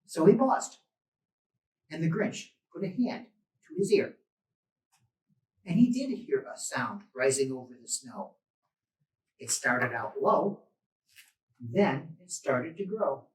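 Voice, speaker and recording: A man reading a children's story aloud expressively, in a deliberate way with dramatic pauses. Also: on the high side, sing-song. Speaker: storybook reader. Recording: roomy echo, distant microphone.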